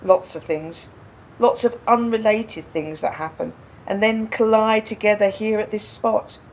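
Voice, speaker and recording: A woman's voice: mid-range voice, steady tone, measured pace, slight background noise, close to an everyday voice, poor audio. An actress playing a frank, wry, grieving woman in a naturalistic radio drama, speaking reflectively and repeating phrases for emphasis. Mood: insistent, troubled. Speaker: radio play actor.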